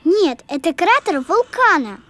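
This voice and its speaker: A woman's voice: very high-pitched, sing-song, unhurried, raised voice, background noise, exaggerated voice. A woman voicing a little, patient child creature who explains things matter-of-factly in a children's cartoon. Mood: explaining. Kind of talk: children's educational cartoon acting. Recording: music behind the voice.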